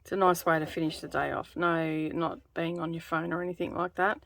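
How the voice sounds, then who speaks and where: A woman chatting in a relaxed, rambling way while she stitches for her craft vlog. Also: sits low, a little fast, vocal fry. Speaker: craft vlogger. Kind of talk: casual chat while stitching.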